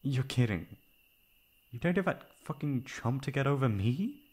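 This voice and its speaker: A man playing a tender, flustered, gently teasing best friend in love, in an intimate, halting ASMR romance roleplay. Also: mid-range voice, expressive, husky, pitched above the performer's own voice. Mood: flustered, surprised. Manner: soft, halting, affectionate.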